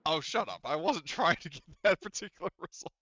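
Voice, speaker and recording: Man, game master, bright forceful voice, dry recording, casual, sweary, stop-and-start rules talk. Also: on the high side, expressive, no room sound, muffled recording. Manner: halting, muttering to himself while checking rules. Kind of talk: running a tabletop roleplaying game, improvised rules narration.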